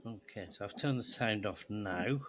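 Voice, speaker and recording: A man's voice: fairly low pitch, normal speed, background noise. A man presenting an amateur radio tutorial, explaining methodically step by step over a demonstration.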